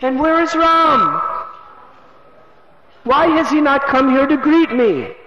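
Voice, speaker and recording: A man's voice: very high-pitched, big pitch swings, slow pace, heavy background noise, voice pushed up, full character voice. A man voicing a grief-stricken young prince, who presses anxious questions in a raised, strained voice. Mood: anguished. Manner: raised, anguished, urgent questions. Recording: echoey, dull lo-fi room recording.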